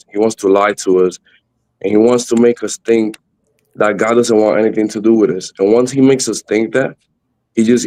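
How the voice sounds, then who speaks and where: A young man whose first language is Spanish, speaking earnestly in English as a podcast guest, with halting restarts. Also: lowish voice, darkish tone. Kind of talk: earnest faith testimony.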